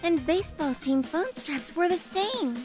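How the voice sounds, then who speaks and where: Actress playing starry-eyed young girl, very high and slow, earnest, faint music behind. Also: big pitch swings, heavily acted. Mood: dreamy wonder. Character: dreamy romantic girl convinced of fate.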